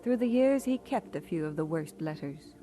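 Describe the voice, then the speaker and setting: An actress playing a gentle, devoted pastor's wife with composed delivery in an old-time radio drama. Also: female voice, sits low, animated, normal speed, faint music behind. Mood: reflective.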